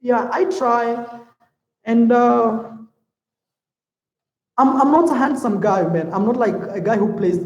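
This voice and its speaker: High-voiced laid-back man in echoey room. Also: slow pace, long pauses. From Africa. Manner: relaxed and talkative, calls viewers "man" and "bro". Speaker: YouTube vlogger. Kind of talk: casual livestream chat, reading viewer comments.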